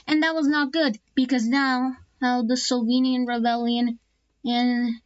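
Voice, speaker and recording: A woman casually narrating an alternate history map series, halting often with restarts and fillers. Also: fairly high pitch, no echo, dull audio.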